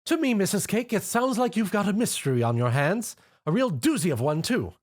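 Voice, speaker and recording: A man's voice: high voice, very animated, a little fast, pitched above the performer's own voice. A man voicing a posh, theatrical fashionable mare who speaks in a refined, dramatic manner. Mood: grandly dramatic. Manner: refined, dramatic, says darling.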